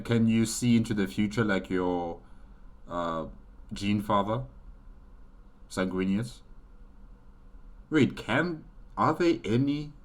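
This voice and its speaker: A man giving casual reaction commentary as host, halting as he thinks aloud. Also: sits low.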